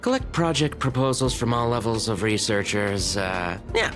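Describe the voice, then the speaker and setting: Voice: medium pitch, moderate pace, close to an everyday voice. An actor voicing a chatty, weary, teasing researcher in a video game, with sighs and muttered asides. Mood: weary, bossy. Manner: expressive, sighing, muttering asides. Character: chatty, weary, teasing station researcher, a grumbling office senior. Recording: studio voice over game music.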